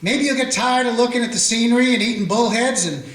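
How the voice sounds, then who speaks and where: A man's voice: extremely high pitch, fast talker, fairly bright tone. A man playing a folksy small-town lawman. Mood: calm. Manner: plain folksy storytelling. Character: steady small-town lawman narrating his story. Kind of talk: radio play narration and acting. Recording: roomy echo with music.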